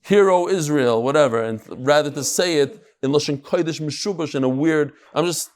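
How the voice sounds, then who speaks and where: A man's voice: on the high side, normal speed. A man giving an informal Talmud class, conversational and emphatic, mixing in Hebrew terms and rhetorical questions.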